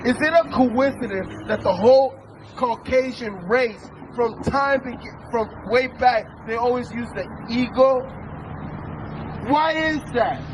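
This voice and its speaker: A man's voice: extremely high pitch, slow pace. A man from El Salvador preaching outdoors on a city street, emphatic and full of rhetorical questions. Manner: emphatic, rhetorical questions, commanding scripture reads. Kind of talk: street sermon. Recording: street traffic noise.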